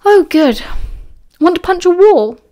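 A woman voicing a playful, restless young male wizard, bright and teasing. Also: big pitch swings, measured pace, exaggerated voice. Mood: cheeky, playful. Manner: bright, bouncy, teasing, high-pitched.

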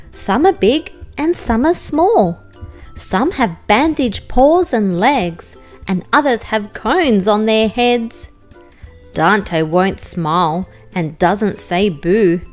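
An Australian woman with a fairly high voice reads a children's storybook at a very slow pace. She narrates expressively in careful rhyme over a music bed. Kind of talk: children's picture book read aloud, scripted rhyming narration.

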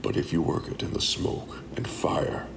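An actor playing a big, patient, wise blacksmith mentor with a deep, gravelly voice in a fantasy audio drama. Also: male voice, moderate pace, whispered, close to an everyday voice. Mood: wise, encouraging.

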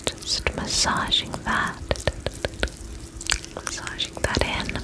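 A young woman playing a gentle vampire spa attendant in a soothing, breathy ASMR whisper, slow and polite, close to the microphone. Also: close to an everyday voice. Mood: soothing, attentive. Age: young adult.

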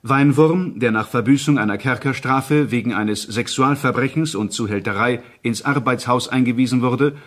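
Guy narrating crime case report, medium voice, formal and factual. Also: medium pitch. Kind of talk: scripted documentary crime narration.

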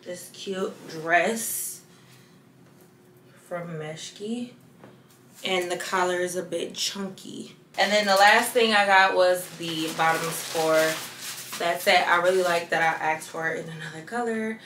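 A young woman in her twenties chatting casually through a clothing haul, lively and loose, dipping into soft asides. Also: fairly low pitch, unhurried, echoey room, a little hiss or hum. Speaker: fashion vlogger. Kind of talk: casual try-on haul chat.

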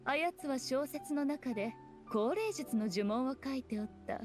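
Game role: sly, regal fox shrine maiden, high voice, archaic and teasing. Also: female voice, expressive, soft background music. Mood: playful, teasing. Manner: archaic lofty speech, amused and unhurried. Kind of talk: scripted video game voice acting.

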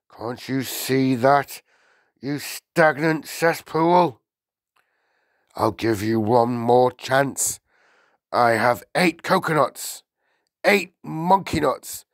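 A man voicing an enormous tyrannical headmistress in a storybook, with a booming, barking delivery, menacing and full of contempt. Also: a bit bright, no echo, clean studio sound, full character voice. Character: enormous tyrannical headmistress, a bellowing, insulting bully woman.

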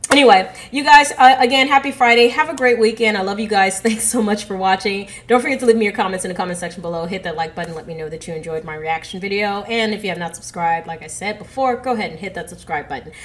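A woman's voice: mid-range voice, fast, few pauses. Stuffy-nosed woman vlogging from home. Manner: chatty, giggly, addresses viewers directly. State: stuffy, congested nose. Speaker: reaction video host. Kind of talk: casual chat to camera. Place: living room at home, indoors. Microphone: earbud headset mic.

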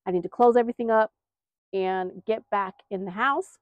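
A woman's voice: mid-range voice, moderate pace, no room sound, narrow, tinny audio. An American woman giving a casual, rambling vlog update, chatty, folksy and a little apologetic, talking as she films around her backyard garden. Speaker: gardening vlogger, host.

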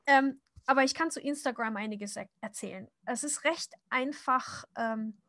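A woman's voice: a little high, normal speed, bright, forceful tone, no echo, studio quality. A woman in her thirties, born in Japan, answering viewer questions in a casual chat while she draws, talking with many fillers and restarts. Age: 30s. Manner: chatty, many fillers and restarts, frequent laughs. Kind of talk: casual livestream Q&A while drawing.

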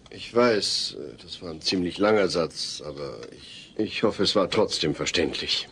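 A man's voice: sits low, unhurried. A man playing a patient, long-winded suburban father in a German audio drama, with a formal, wordy manner. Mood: lecturing. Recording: faint background noise.

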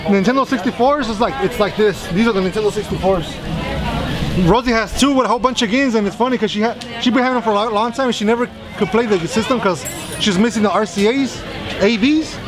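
A middle-aged man vlogging casually as he walks through a busy outdoor night swap meet, chatty and excited, with casual swearing, recorded on a handheld camera held close. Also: sits high, fast. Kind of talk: casual walking vlog. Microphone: handheld action camera close to face. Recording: loud crowd noise outdoors.

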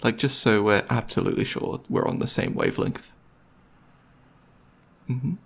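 Man acting a husky, goofy, caring boyfriend. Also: lowish voice, wildly swooping pitch, normal speed, exaggerated voice. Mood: earnest, hesitant. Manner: hesitant, rambling, playful. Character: goofy, caring, slightly nervous boyfriend on a movie date. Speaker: ASMR roleplay performer. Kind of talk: ASMR roleplay, casual intimate chat to the listener.

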